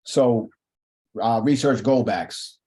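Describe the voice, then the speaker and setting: A man's voice: mid-range voice, takes it slowly, warm tone. A man hosting a casual livestream chat, talking loosely in slang and swearing freely. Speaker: host.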